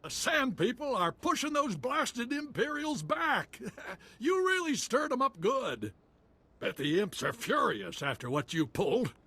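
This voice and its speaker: Wry frontier commander for a game: folksy and colloquial, high husky voice, very lively delivery. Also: male voice, unhurried, full character voice. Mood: pleased, grateful. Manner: folksy, clipped colloquial speech. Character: wry frontier militia commander resisting an empire. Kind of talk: video game voice acting.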